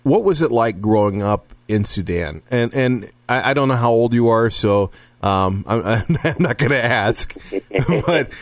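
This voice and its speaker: A man's voice: mid-range voice, expressive, unhurried, no echo. A man hosting a radio talk show from a studio, earnest and rambling with restarts.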